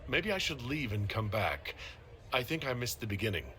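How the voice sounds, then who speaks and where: Polite fantasy leader, crisp bright low voice, plain-spoken, game cutscene, faint noise. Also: male voice, very animated, normal speed. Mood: puzzled. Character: composed, polite male leader of a fantasy order. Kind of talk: video game cutscene acting.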